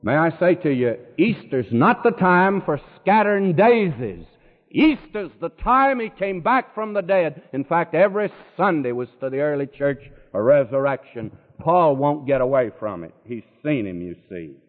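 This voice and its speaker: A man's voice: a little high, big pitch swings, normal speed. Man preaching in an echoey hall. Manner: dramatic preaching, rising when quoting scripture. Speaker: pastor. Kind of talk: sermon. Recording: echoey hall, tinny old recording.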